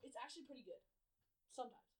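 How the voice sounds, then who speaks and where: A teenage girl joking quickly with friends on a casual podcast. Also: high voice, lively intonation, darkish tone, low-quality recording. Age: teens. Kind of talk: casual chat among friends.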